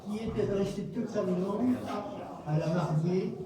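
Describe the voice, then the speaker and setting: A man reading a text aloud to the bride and groom at a wedding in a measured, solemn way. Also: high-pitched, crowd noise. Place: wedding reception hall. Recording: very echoey hall, distant mic.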